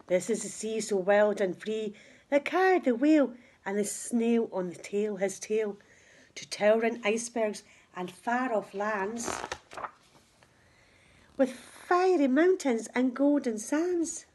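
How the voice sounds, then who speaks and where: A woman's voice: on the high side, slow pace, a little mellow. A woman reading a rhyming picture book aloud to children like a teacher, with emphatic, dramatic phrasing. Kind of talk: expressive children's storytelling in rhyme.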